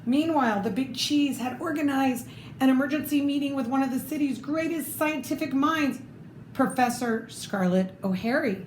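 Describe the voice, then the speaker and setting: A woman's voice: sits high, normal speed. A woman reading a children's storybook aloud expressively, adding little asides to the listener. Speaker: storybook reader. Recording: roomy echo, clear background noise.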